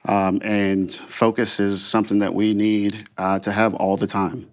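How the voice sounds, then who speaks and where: A man speaking as an air traffic controller and union official, giving halting, earnest, unscripted answers in an interview. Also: lowish voice, level tone.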